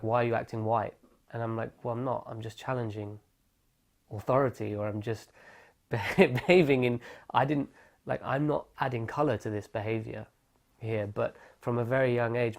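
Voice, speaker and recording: A young man from England recounting his childhood in an oral history interview, thoughtful and reflective, often pausing to correct himself. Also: mid-range voice, gravelly.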